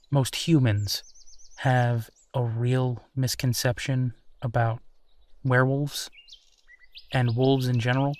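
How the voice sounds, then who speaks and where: A man playing an easygoing, affectionate werewolf boyfriend in an intimate audio roleplay, speaking earnestly and haltingly. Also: medium pitch, no echo, natural voice. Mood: amused disbelief. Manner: hesitant, chuckling, earnest, halting phrasing. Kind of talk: intimate boyfriend roleplay, naturalistic one-sided conversation.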